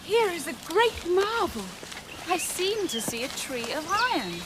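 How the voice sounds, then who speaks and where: A woman's voice: very high voice, big pitch swings, unhurried, noisy background, heavily acted. A woman playing a regal young queen in a radio drama, lilting with formal wonder. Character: regal young queen, marvelling in archaic speech.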